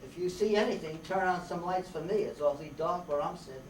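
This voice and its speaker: A noisy, distant recording in a roomy space carries a hard-boiled radio private eye played by a man. He is brash and sardonic, full of slangy wisecracks, with a slightly high voice. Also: fast talker, lightly acted. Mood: brash, irritated. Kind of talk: old-time radio detective narration and dialogue.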